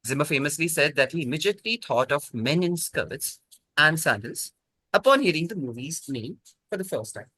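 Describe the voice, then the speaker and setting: A man hosting a quiz, reading questions out in a measured, precise, polite way. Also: on the high side, lively intonation, bright, forceful tone, breathy edge, no echo, poor audio. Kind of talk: formal quiz question reading over an online call.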